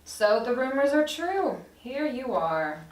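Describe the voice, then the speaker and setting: A woman acting a brisk, sardonic secretary in her sixties in a table read of a TV dramedy, with a dry, officious manner. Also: sits high, big pitch swings, slight background noise, full character voice. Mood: stern, smug. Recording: roomy echo, distant microphone.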